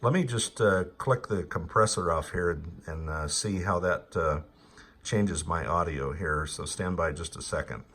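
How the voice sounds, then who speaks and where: Very deep-voiced man chatting technically. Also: measured pace, a bit bright, slight background noise. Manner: hesitant, technical, explanatory. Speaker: amateur radio operator, channel host. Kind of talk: casual ham radio chat.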